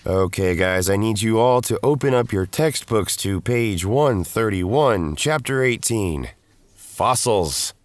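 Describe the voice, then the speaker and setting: A man's voice: lowish voice, expressive, takes it slowly, dry recording. A man, middle-aged, acting as a world-weary former hero turned professor who narrates his own story in an audio drama. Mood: addressing class. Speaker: narrator and lead actor. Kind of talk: scripted first-person audio drama narration. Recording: music under the voice.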